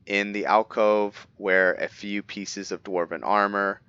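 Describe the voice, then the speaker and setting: A man voicing a brooding male vampire assassin who reads out his journal in a measured way, sometimes stumbling over words. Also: lowish voice, steady tone, pushed and bright, smooth, no room sound, highs cut off. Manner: measured, stumbles now and then over words while reading. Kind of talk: reading in-character journal entries for a game roleplay.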